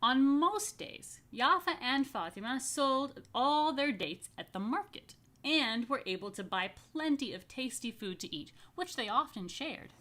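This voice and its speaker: A woman reading a picture book to young families as a rabbi, stretching key phrases expressively and slipping into chatty asides. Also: high-pitched. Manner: expressive, slow emphatic storytelling with chatty murmured asides. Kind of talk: children's story read-aloud with casual asides.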